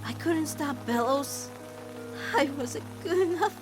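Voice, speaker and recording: A woman's voice: sits high, lively intonation, slow, music in the background, low-quality recording. A woman voicing a guilt-ridden fourteen-year-old girl in an emotional cartoon fan dub, blaming herself with dramatic intensity. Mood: dejected guilt. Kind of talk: animated-series fan dub drama acting.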